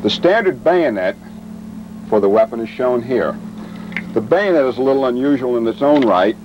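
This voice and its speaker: A man with a mid-pitched voice lectures like a military training instructor. He explains things in a measured, matter-of-fact way, in short deliberate phrases. The sound is that of an old training film shot outdoors, with clear noise behind him. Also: animated, dull audio. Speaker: weapons instructor and presenter. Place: outdoors at a weapons demonstration.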